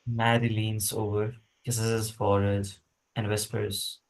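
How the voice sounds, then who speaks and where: A man's voice: on the low side, level tone, unhurried, vocal fry, rough recording. A man reading stage directions aloud in scripted screenplay narration.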